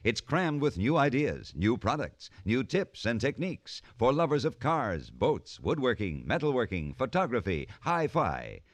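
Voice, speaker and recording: Animated male radio ad announcer. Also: unhurried, hardly any pauses, dry recording. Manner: emphatic sales pitch. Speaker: commercial announcer. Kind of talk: vintage radio advertisement read.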